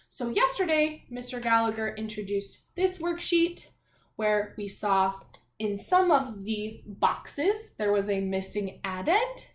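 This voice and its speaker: A woman teacher talking young children through a read-aloud and math lesson in an animated, encouraging, sing-song way. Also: mid-range voice. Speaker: primary school math teacher. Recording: echoey room, faint background noise.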